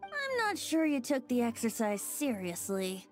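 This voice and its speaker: A carefree young male bard from a video game, voiced by a woman, talking in a high, lilting voice that rises and falls playfully. He sounds light and teasing. Soft game music hums in the background. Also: huge pitch swings, unhurried. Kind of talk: game voice acting.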